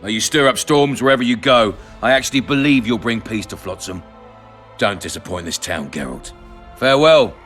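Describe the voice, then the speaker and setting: An actor playing a scheming, prejudiced town commandant in a video game, measured and self-assured. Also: male voice, on the low side, expressive, a bit bright, soft background music. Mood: smooth, commanding.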